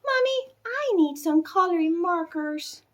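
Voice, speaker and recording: A woman voicing a whiny, insistent little girl in a storybook, wheedling with drawn-out pleas. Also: very high-pitched, lively intonation, voice pushed up. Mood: pleading, insistent. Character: pleading, whiny little girl wanting markers.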